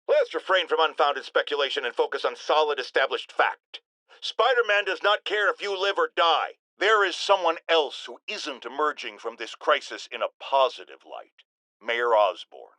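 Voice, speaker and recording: A pompous middle-aged American radio pundit from a video game. His voice sits fairly high and swings widely in pitch. He delivers self-righteous, opinionated monologues with theatrical, ranting emphasis, in a dry studio sound. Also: expressive, moderate pace, pushed and bright, dry recording, low-quality recording. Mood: accusing. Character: blustering, self-righteous middle-aged male radio talk-show host. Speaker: radio talk-show host.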